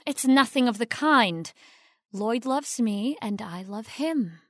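A woman's voice: fairly high pitch, expressive, slow, dry recording, clean studio sound. A woman acting in an audio drama as an ambitious, scheming young stage actress, poised and self-assured with a sweet front. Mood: eager, confiding.

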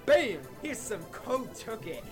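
A brash pig DJ in an audio drama, very high for a man and swinging widely in pitch. He talks in slangy hype-man patter over clear club music. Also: sing-song, takes it slowly, exaggerated voice. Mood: hyped up. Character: brash, excitable pig nightclub DJ.

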